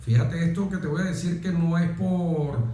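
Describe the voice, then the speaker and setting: A man in his forties with a Venezuelan accent, speaking deliberately and emphatically as an interview guest, with pauses and repeated phrases. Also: on the high side, mellow. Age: 40s. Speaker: interview guest, military officer. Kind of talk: reflective, oratorical interview talk. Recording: very echoey room.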